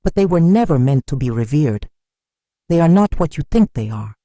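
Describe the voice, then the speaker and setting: A narrator voicing a sinister cloaked stranger in a folktale, taunting and cryptic, making grand pronouncements with eerie calm. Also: medium pitch, normal speed, dry recording, clean studio sound, close to an everyday voice. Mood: scornful, menacing. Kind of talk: dramatic folktale storytelling.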